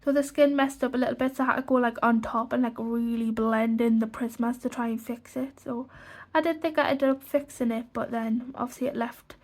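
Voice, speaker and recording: A woman's voice: on the high side, steady tone, brisk, hardly any pauses. A young woman, stuffy from a cold, chatting casually through a colouring book flip-through with lots of "like" fillers. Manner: rambling, many "like" fillers and restarts. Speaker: colouring channel host.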